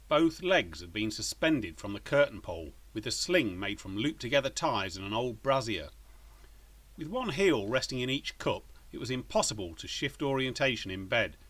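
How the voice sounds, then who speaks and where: A man's voice: mid-range voice, a bit bright. A man narrating a children's story as its author, in a scripted reading style.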